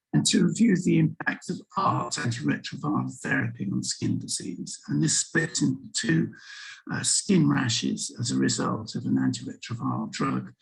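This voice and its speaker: A man in his sixties with a husky voice from a sore throat, giving a measured online medical lecture over a video call as a visiting HIV physician. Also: mid-range voice, low-quality recording. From England. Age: 60s. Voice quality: gravelly, breathy. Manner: measured, explanatory, with frequent ums. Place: home room with empty shelves and packing boxes.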